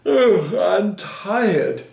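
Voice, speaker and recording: A man's voice: sits high, very animated, drawn-out words, creaky, darkish tone, voice pushed up, full character voice. A man voicing a weary, overworked storybook duck with short, plaintive quacks and groans. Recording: roomy, distant microphone.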